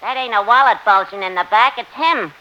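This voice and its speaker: An actress playing a brash, sardonic New working-class wife in 1940s radio comedy, slangy and wisecracking, on a crackly old recording. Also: female voice, a little high, brisk, highs cut off, close to an everyday voice. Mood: sardonic complaining.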